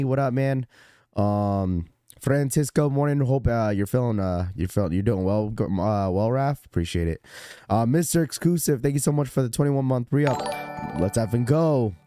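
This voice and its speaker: Young male streamer, hoarse and a bit congested, warm low-key voice, relaxed slangy delivery. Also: dry recording, studio quality. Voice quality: slightly cracky, congested voice. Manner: laid-back slangy shout-outs with fillers. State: hoarse, recovering from illness. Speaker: livestream host. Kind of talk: casual livestream chat greeting viewers.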